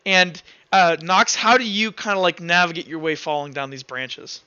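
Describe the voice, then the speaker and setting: A man's voice: high-pitched, fairly even intonation, normal speed, sharp tone, smooth, dry recording, dull audio. A man improvising narration as a tabletop game master, informal and hesitant as he pieces the scene together.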